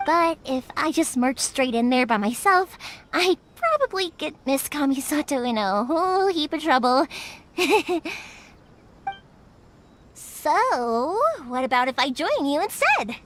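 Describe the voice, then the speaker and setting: A woman's voice: high voice, big pitch swings, takes it slowly, fairly bright tone, exaggerated voice. A young woman voicing a cheerful, playful fireworks shop owner in a video game dub, bubbly and giggly with folksy phrasing.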